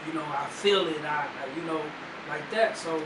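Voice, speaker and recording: A man's voice: on the high side, moderate pace. A man co-hosting a radio interview, giving his take thoughtfully and at length with a few restarts. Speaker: co-host. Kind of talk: casual interview commentary. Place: radio studio. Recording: echoey room, distant mic, loud noise.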